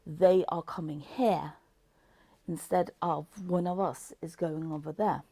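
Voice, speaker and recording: A woman with a Norwegian accent talks through a book review at an unhurried pace. Her low, mellow voice carries a gravelly edge. The sound is close and dry, and she often stops to search for the right word. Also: steady tone, no echo. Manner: thoughtful, searching for words with many fillers. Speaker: book vlogger. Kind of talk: casual book review talk.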